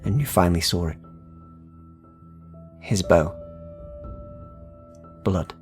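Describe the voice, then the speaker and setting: A man narrating a dark fantasy game as game master, in a dramatic, deliberate, suspenseful style addressed to the players. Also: sits low, soft background music. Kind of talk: atmospheric second-person fantasy narration.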